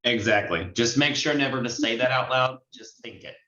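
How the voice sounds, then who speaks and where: A man's voice: mid-range voice, moderate pace, bright, forceful tone. A man in his forties coaching people conversationally on a group video call, chatty and fond of illustrating points with examples. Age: 40s. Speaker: host and notary trainer. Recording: muffled, roomy video-call audio.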